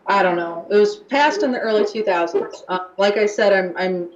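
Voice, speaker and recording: A woman's voice: mid-range voice, moderate pace. Casting: young American woman, chatty radio guest, roomy, slightly dull recording. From United States. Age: young adult. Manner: chatty, with fillers and restarts. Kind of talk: casual radio talk.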